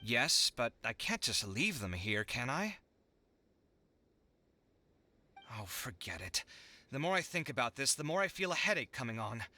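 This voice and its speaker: An actor playing a dramatic, put-upon young architect in a video game, with a theatrical, exasperated manner. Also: male voice, medium pitch, very animated, moderate pace, bright, forceful tone. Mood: torn, resigned. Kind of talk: animated video game voice acting.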